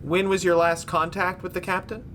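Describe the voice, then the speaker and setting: A man's voice: sits high, level tone, normal speed, a bit bright, a little hiss or hum. A man playing a tabletop role-playing game, talking casually and asking questions as his character.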